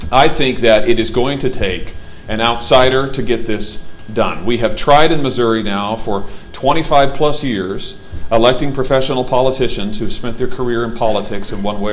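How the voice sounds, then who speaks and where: Forceful man giving a campaign speech. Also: medium pitch, moderate pace, slight background noise, poor audio. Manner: forceful, emphatic stump-speech delivery. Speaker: political candidate.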